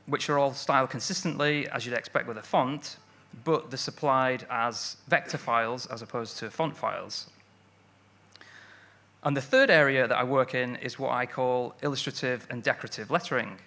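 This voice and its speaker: Bright-voiced Manchester man giving a talk. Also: medium pitch. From Manchester, England. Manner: friendly, self-deprecating, many fillers. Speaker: lettering artist giving a talk. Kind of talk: conference talk. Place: stage at an evening event.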